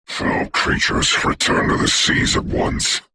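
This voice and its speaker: An actor voicing a commanding, haughty dark sorcerer for a video game, speaking in a formal, grandiose, deliberate way with a gravelly, breathy voice. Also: male voice, very noisy, close to an everyday voice. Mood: solemn, commanding. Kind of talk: theatrical hero game voice lines.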